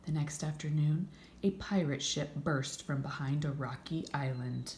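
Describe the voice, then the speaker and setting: A woman reading a children's story aloud with expressive, dramatic narration and drawn-out emphasis. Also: low voice. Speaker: storybook reader. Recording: echoey room, faint background noise.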